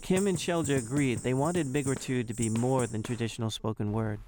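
A man narrates a news feature in a steady, informative way. His voice is mid-pitched. Faint music plays underneath. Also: a little fast.

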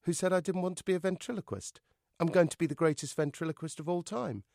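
A man's voice: a little high, quick pace, gravelly, darkish tone, dry recording, studio quality, voice pushed up. A man voicing a confident, stubborn, rebellious boy ventriloquist in an audiobook, self-assured and defiant. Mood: firm. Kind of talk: audiobook character voice.

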